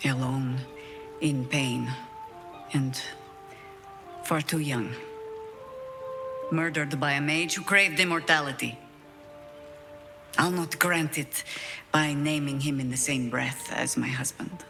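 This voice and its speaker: An actress playing a battle-worn older woman druid in a video game, proud, wry and grieving, speaking in a measured, eloquent, dramatic way. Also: low-pitched, fairly even intonation, gravelly, pushed and bright, soft background music, full character voice. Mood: bitter grief.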